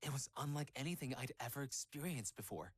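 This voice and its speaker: A man voicing a gentle, earnest young pop idol in a visual novel game, soft-spoken and a little hesitant. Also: mid-range voice, animated, brisk, slightly rough, dry recording. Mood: wistful, nostalgic. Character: gentle young male Korean pop idol, devoted fiancé.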